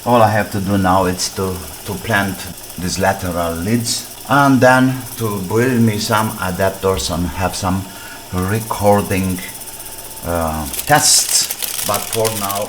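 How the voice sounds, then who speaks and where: Man, deep-pitched, unhurried, halting phrases, casual repair commentary, noisy clicky recording. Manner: halting, thinking aloud in short fragments. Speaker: electronics repair hobbyist presenting his restoration.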